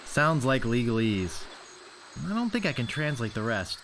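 An actor playing a naive, wry young wannabe pirate in a video game, earnest in delivery. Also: male voice, mid-range voice, sing-song, moderate pace, a bit bright. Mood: wry, puzzled. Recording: clear background ambience noise.

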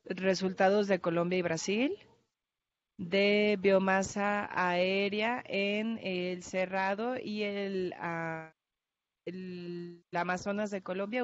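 Ponente con acento portugués, dicción lenta y vacilante, cámara lejana en un auditorio. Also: female voice, mid-range voice. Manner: careful, hesitant, with restarts and fillers. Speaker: researcher presenting at a workshop. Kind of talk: conference talk. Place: large conference hall. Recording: dull lo-fi hall recording.

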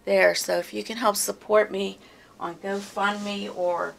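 A soft-spoken woman with a Pittsburgh accent, teaching a step-by-step painting tutorial in her home studio and talking unhurriedly as she works. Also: mid-range voice, level tone, vocal fry. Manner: unhurried, murmurs to herself while painting. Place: home art studio, indoors. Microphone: camera mic from behind, far off. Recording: distant camera mic with faint music.

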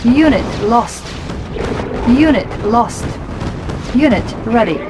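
A woman's voice: a little high, expressive, takes it slowly, over music, rough recording. A woman playing a formal, businesslike Soviet military officer in a strategy game, speaking in clipped scripted announcements.

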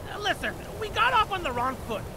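A woman's voice: very high-pitched, big pitch swings, fairly quick, noisy background. A woman voicing a chatty, sardonic pilgrim companion in a video game, pedantic and rambling. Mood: wry, awkward. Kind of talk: video game character dialogue.

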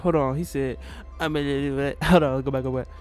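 A young man chats through a music reaction in his small bedroom, laid-back and full of slang and curses. His voice sits at an ordinary pitch for a man. A hip hop track plays faintly behind him. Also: fairly even intonation, brisk. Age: young adult. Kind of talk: casual reaction commentary. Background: hip hop track playing.

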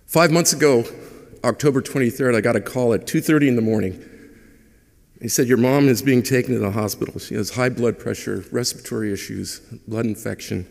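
A man's voice: medium pitch, brisk, vocal fry, a little mellow. A middle-aged man whose first language is Hungarian gives a chatty, humorous eulogy at a church lectern microphone. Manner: conversational and humorous, with hesitations. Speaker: son giving a eulogy. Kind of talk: funeral eulogy. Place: large church. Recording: long church reverb.

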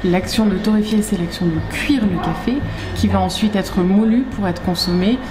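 A woman in her thirties, a trade association delegate, answering interview questions in a measured, explanatory way with frequent hesitations. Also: mid-range voice, background noise. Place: coffee shop, indoors.

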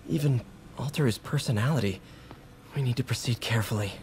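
An American actor voicing a friendly, encouraging young superhero mentor in a video game, with a casual coaching manner. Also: male voice, medium pitch, a little fast, a little mellow, slight background noise, natural voice. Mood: worried.

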